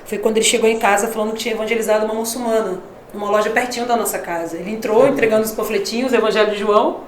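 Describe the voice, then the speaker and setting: A woman's voice: fairly high pitch, racing, a little hiss or hum. A woman from Brasília, a missionary guest, telling stories in casual conversation with frequent laughs. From Brasília, Brazil. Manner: lively storytelling, laughs often. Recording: echoey room.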